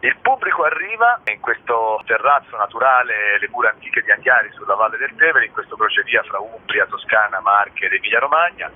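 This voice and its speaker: A man speaking as an interview guest, explaining at length in a discursive way and pausing to search for words. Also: on the low side, animated. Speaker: theatre director, interview guest. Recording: narrow tinny phone line, clear background noise.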